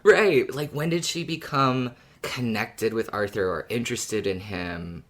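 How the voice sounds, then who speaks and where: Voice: medium pitch, unhurried, a bit bright. A podcast host who chats casually about a story in a fan discussion and laughs often.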